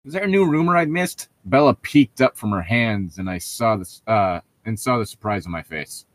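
A man's voice: lowish voice, lively intonation, moderate pace, no room sound. A man casually joking with friends and reading a novel aloud as a podcast host on a voice call. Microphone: close mic on an online voice call.

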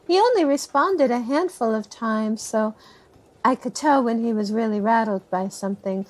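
A woman's voice: a little high, lightly acted. An actress playing a candid, motherly woman, the niece of an author, chatting naturally in an audio drama interview. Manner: conversational, candid.

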